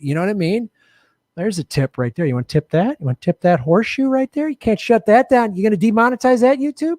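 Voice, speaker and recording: A man hosting a live political show from a studio with bright lights, chatting casually and joking in a playful, self-mocking way. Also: sits high, no echo. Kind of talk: casual livestream chat.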